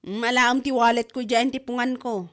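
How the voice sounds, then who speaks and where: A woman's voice: a little high, steady tone, moderate pace, fairly bright tone, natural voice. A woman with an Ilocano accent acting everyday women in a comedy drama. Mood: bossy, nagging.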